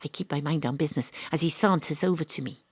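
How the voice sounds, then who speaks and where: A woman playing a world-weary, wry private detective, narrating a noir audio drama in a deadpan way. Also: low voice, quick pace, breathy edge, no room sound. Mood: deadpan, observant. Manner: deadpan, dry. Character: female private detective, world-weary, wry, hard-boiled ex-rugby star.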